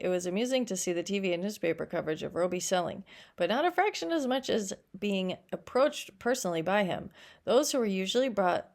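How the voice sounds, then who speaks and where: A woman reading a short story aloud as a teacher, stumbling now and then over words and adding explanatory asides. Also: mid-range voice.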